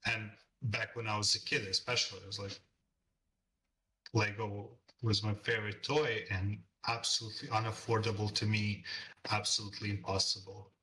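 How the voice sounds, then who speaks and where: A non-native English speaker, a man, talks earnestly about his own journey, circling back over words as he finds them. His voice is bright and pushed forward, though he sits some distance from the microphone. A faint hiss of background noise stays under him. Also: lowish voice, flat delivery, moderate pace. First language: not English. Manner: earnest, reflective, repeats words while searching for them. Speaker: guest artist. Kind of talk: casual interview chat over a call.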